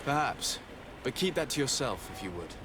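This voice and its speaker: A man playing a courteous, composed noble envoy in a video game, with formal, measured speech. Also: medium pitch, sing-song, noisy background, close to an everyday voice. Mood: measured, persuasive. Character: polite, composed, probing young nobleman envoy. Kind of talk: video game dialogue acting.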